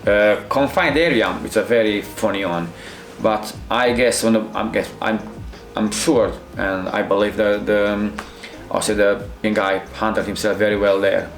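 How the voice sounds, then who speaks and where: A middle-aged man from Romania with a Romanian accent, a Krav Maga instructor reacting to fight scenes in halting, restarting English. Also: lowish voice, steady tone, echoey room, faint music behind. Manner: halting non-native phrasing with many restarts.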